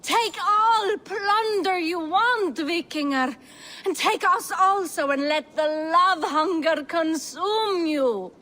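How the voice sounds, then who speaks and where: Lusty, high-voiced Viking wife. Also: female voice, slow, bright, forceful tone, heavily acted. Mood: excited, lusty. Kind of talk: video game acting.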